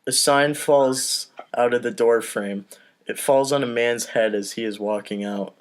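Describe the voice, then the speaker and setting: A man's voice: medium pitch, measured pace. A man narrating script directions on a comedy podcast, slipping into casual asides. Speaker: podcast host reading stage directions.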